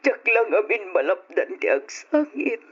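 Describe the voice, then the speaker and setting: A woman playing an affectionate, emotional middle-aged aunt in an old radio drama, with expressive, drawn-out delivery. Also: medium pitch, breathy edge. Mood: tearful remorse. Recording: dull old radio recording.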